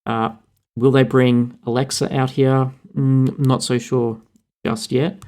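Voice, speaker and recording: A man's voice: medium pitch, flat delivery, takes it slowly, darkish tone. Australian man explaining tech news. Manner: fluent, explanatory. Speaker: podcast co-host. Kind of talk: casual tech chat.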